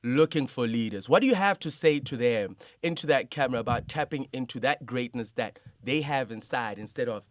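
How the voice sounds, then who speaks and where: A man's voice: medium pitch, expressive, brisk, few pauses, dry recording. Encouraging male interviewer, dry sound. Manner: encouraging, frequent affirmations. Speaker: interviewer, host. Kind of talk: casual conversational interview.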